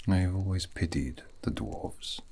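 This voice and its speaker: A man playing a gentle, courteous elven mage in a whispered ASMR fantasy roleplay, with breathy, husky delivery and formal, archaic, soothing phrasing. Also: deep voice, a little slow. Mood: calm, musing.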